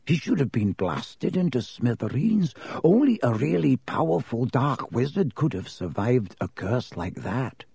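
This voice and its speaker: A man's voice: fairly high pitch, big pitch swings, unhurried, breathy edge, dry recording, heavily acted. A man voicing a pompous, fearful, suspicious schoolboy in a storybook, with a stubborn, mysterious manner. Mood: hushed, mysterious. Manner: hushed, mysterious to quavering and stubborn.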